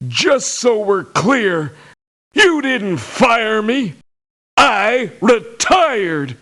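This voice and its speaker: An actor playing a crotchety old American veteran mercenary in a video game, folksy and scornful of youngsters. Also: male voice, high-pitched, big pitch swings, extremely slow, sharp tone, heavily acted. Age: older. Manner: folksy, cantankerous. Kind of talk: video game character voice lines.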